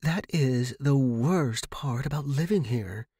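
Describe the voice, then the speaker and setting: A man's voice: mid-range voice, slow, dark tone, slightly rough, no echo, studio quality. A man voicing a friendly young female housemate with a chatty, informal and emphatic manner in a narrated story. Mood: uneasy. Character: friendly, giggly young woman, a new housemate.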